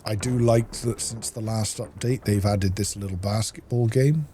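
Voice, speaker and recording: A man giving casual playthrough commentary as a gaming host, thinking aloud in an unhurried way as he plays. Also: fairly low pitch, fairly even intonation, dark tone, a little hiss or hum.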